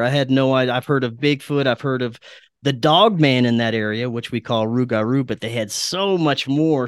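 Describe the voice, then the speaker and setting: A man's voice: medium pitch, clean tone, no echo, clean studio sound. An American man from Louisiana talking casually as a podcast guest about his work, with enthusiasm and laid-back, slangy phrasing. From Louisiana, United States. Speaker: podcast guest, documentary maker.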